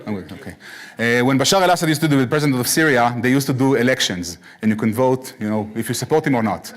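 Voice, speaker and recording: A man with an Israeli accent presents to a class, earnest and steady in a middle register. He slips in bits of dry, deadpan humor between his serious points. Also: mid-range voice, fast. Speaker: guest lecturer. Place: synagogue chapel.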